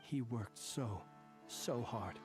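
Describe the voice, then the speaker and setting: Actor playing a grave, composed soldier over game music. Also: male voice, mid-range voice, lightly acted. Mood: somber. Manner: formal, measured, deliberate phrasing. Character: grave, composed, courtly male soldier, quietly vengeful. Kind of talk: scripted video game voice acting.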